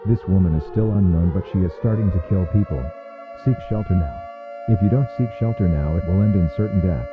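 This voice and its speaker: Phone-like, very deep male alert announcer. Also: a little fast. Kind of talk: scripted emergency alert broadcast announcement. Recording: muffled phone-like audio with music behind.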